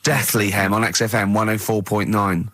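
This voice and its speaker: A man with a southern English accent co-hosting a radio show, joking in casual, excitable, irreverent banter and repeating his phrases. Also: fairly low pitch, moderate pace.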